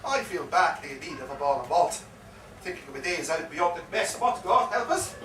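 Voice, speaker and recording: A man's voice: on the high side, animated, moderate pace, a bit bright. A man on stage playing a garrulous old soldier with an Irish accent, telling a war yarn with theatrical repetition. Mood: wry, wheedling. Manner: theatrical, dramatic storytelling with repeated phrases. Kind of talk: live stage performance of a comic one-act play. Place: live performance in a pub. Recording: echoey, distant live pub recording, faint noise.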